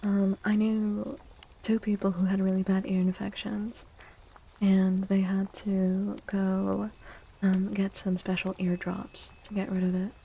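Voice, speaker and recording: Breathy, whispery soft-spoken woman. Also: mid-range voice, completely flat delivery, low-quality recording. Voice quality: breathy, often dropping into a whisper. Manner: gentle, soothing, unhurried. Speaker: livestreamer hosting an ASMR stream. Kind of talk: soft-spoken ASMR, chatting with viewers. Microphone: close microphone.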